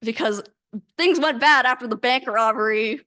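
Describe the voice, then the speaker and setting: A woman closing her presentation in a bubbly, quick manner. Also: a little high, pushed and bright. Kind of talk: wrapping up a presentation.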